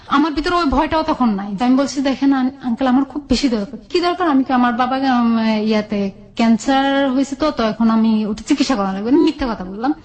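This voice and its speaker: Woman, Bangladeshi accent, higher-pitched voice, colloquial personal storytelling, recounted dialogue, interview guest. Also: darkish tone. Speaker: guest telling own life story.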